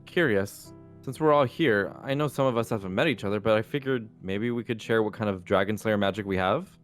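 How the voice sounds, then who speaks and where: A man's voice: fairly low pitch, fairly quick, faint music behind, natural voice. A man playing a curious, friendly fire dragon slayer in a casual fantasy game roleplay. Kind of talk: improvised Minecraft fantasy roleplay.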